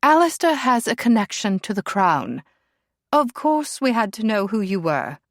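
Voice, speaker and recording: A woman's voice: on the high side, very animated, normal speed, no room sound, clean studio sound, voice pushed up. A woman voicing a haughty young royal princess, cold and clipped in her snobbery.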